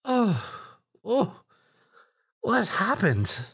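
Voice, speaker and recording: A man's voice: sits high, huge pitch swings, extremely slow, vocal fry, a bit bright, husky, voice pushed up, exaggerated voice. A man voicing a fussy, fashion-minded female pony in a story, sounding flustered. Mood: confused, panicky.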